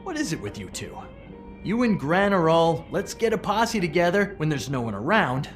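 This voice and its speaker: Mouthy smug gossip, sarcastic, goading, very animated pitch, faint music, game character. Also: male voice. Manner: sarcastic, goading, drawn-out emphasis. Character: cocky, mouthy male troublemaker and gossip.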